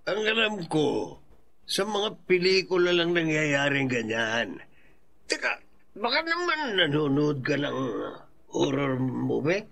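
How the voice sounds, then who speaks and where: An actor playing a skeptical, teasing friend in a Filipino radio drama. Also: male voice, fairly high pitch, sing-song, slow pace, gravelly, pushed and bright, exaggerated voice. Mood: amused, skeptical. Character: skeptical, teasing male friend who scoffs.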